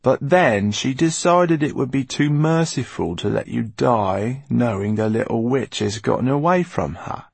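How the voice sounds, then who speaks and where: A man voicing a soft, mocking, menacing henchman. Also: mid-range voice, animated, measured pace, vocal fry, few pauses, husky, dry recording, pitched above the performer's own voice, full character voice. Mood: gloating. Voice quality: soft, silky. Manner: mocking, gloating. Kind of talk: audiobook character acting.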